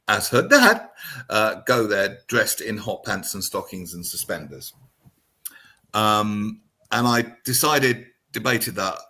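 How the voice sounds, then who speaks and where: Husky-voiced man hosting a chat show. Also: deep voice, bright, forceful tone. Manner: chatty, self-deprecating storyteller. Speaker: radio show host. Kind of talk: casual chat.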